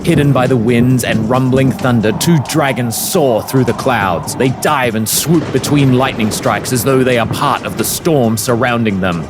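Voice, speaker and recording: A man narrates a fantasy audio drama in a dramatic, scripted style. His mid-pitched voice sits over clearly audible music. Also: normal speed, hardly any pauses. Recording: prominent music bed behind narration.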